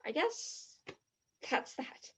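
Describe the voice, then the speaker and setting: A woman's voice: drawn-out words, a bit bright. A woman acting a naive, earnest, dreamy young romantic in a stage play, with a slightly muffled sound. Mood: shy, wistful.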